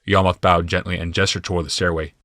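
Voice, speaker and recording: A man narrating a fantasy audiobook from a script in a measured, deliberate and dramatic manner. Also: deep voice, little pitch movement, pushed and bright, dry recording, studio quality.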